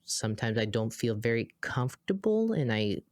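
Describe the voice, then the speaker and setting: A woman with an American accent co-hosting a podcast, telling a story in a chatty, animated way with lots of asides. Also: normal speed, no echo, clean studio sound. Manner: animated, acts out quoted dialogue with big pitch jumps; chatty, many fillers and asides. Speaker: podcast co-host. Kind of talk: casual conversational storytelling.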